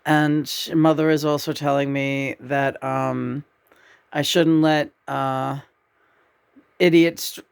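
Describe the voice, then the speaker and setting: A woman in her sixties talks unhurriedly during a spiritual channeling session. Her voice has a gravelly edge, and she speaks softly, pausing on fillers and restarting phrases. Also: deep voice, flat delivery, dry recording. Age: 60s. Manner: slow, soft-spoken, with hesitations and fillers.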